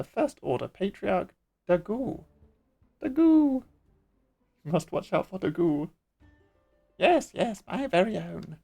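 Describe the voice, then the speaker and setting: A man voicing an eccentric male kobold lore-keeper in a video game, who speaks in a gravelly voice and piles up strings of synonyms. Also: a little high, a little mellow, full character voice. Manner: repeats words in strings of synonyms. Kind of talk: video game cutscene voice acting.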